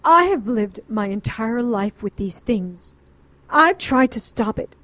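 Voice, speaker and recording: A woman's voice: fairly high pitch, lively intonation, takes it slowly, bright, forceful tone, dry recording, full character voice. A woman acting a grieving, defiant occultist doctor in an audio drama, speaking with earnest, indignant intensity. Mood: defensive, anguished.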